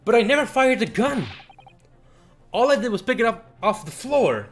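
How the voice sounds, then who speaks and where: A man voicing an earnest, excitable young lawyer in a video game, questioning in a theatrical courtroom manner. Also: very high-pitched, normal speed, pitched above the performer's own voice. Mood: protesting. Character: earnest, excitable young male defendant lawyer, polite but pressing. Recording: music behind the voice.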